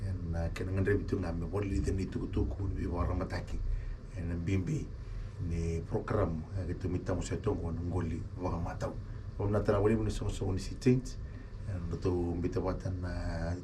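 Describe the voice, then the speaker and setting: Male radio host, very low voice, distant mic, noisy. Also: little pitch movement, a little fast. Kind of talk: radio talk show hosting. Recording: distant mic with clear noise.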